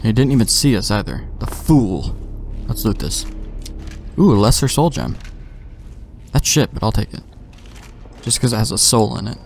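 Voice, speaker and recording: A young man with a lowish, gravelly-edged voice does casual let's play commentary. He is offhand and slangy, thinking aloud, with faint game music underneath. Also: animated, normal speed, long pauses, a little mellow. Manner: offhand, slangy, talking to himself. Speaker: gamer, let's play host.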